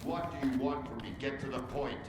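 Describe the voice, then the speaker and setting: An actor playing an impatient, curt army colonel in a video game cutscene. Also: male voice, mid-range voice, fairly quick, lightly acted. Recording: reverberant hall with noisy ambience.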